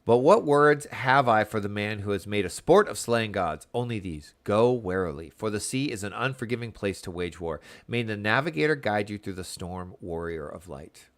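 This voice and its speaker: A man voicing a stern naval admiral from a fantasy game, speaking formally and gravely. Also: lowish voice, animated, moderate pace, few pauses. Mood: solemn, commanding.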